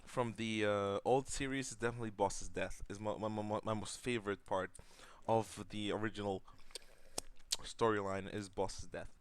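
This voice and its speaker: A man's voice: fairly low pitch, steady tone, moderate pace, clear, resonant tone. A young man co-hosting a casual livestream, goofy and rambling, reading out viewer questions. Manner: goofy, rambling, sings silly jingles. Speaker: livestream co-host.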